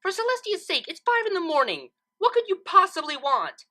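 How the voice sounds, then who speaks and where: An intellectual female pony from a cartoon fan story, put on by a woman reader. She is exasperated and dismissive, with a bright tone and lively, climbing pitch. Her manner is proud and condescending. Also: fairly quick, heavily acted. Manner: indignant, condescending.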